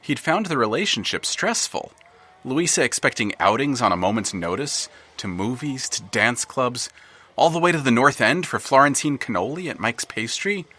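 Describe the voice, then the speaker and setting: A man reads an audio drama's narration with dry wit. His voice is bright and a little breathy. His pitch rises and falls a great deal as he tells the story. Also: moderate pace. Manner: dry, wry storytelling with lively swings in pitch.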